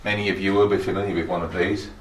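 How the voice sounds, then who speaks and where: A man's voice: sits low, level tone, rapid-fire. A middle-aged or older man giving an informal guided technical tour in a matter-of-fact, explanatory way. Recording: roomy echo with faint background noise.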